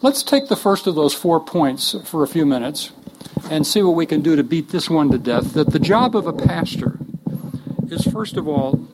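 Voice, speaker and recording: Brief: male workshop leader, gravelly voice, conversational teaching, restarts often, faint background hiss. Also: on the high side, very animated, normal speed. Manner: earnest, joking asides, frequent restarts.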